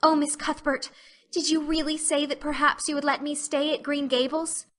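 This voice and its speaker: An earnest, imaginative little orphan girl , acted by a woman, speaks in a high, bright voice. She is intense, breathless and pleading, as in a storybook drama. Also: little pitch movement, moderate pace. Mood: breathless hope. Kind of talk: audiobook dramatic reading.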